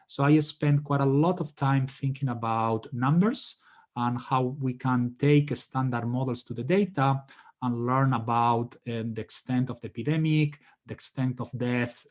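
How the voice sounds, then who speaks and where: A man from Spain with a Spanish accent, an academic economist and native Spanish speaker giving informal, measured, explanatory panel remarks. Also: medium pitch.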